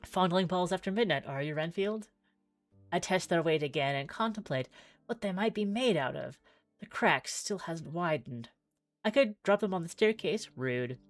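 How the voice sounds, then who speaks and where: A woman with a fairly low voice narrates a game story aloud in a theatrical way, breaking in with wry comments. Faint music plays behind her. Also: expressive, normal speed, no echo. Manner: theatrical, wry, exclaiming asides. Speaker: streamer playing a visual novel. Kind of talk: reading game text aloud with joking asides.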